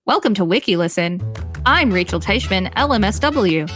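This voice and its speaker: A woman's voice: medium pitch, normal speed, faint music behind. A woman co-hosting a podcast, reading an article aloud in an upbeat way with some banter. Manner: upbeat, reads lists excitedly.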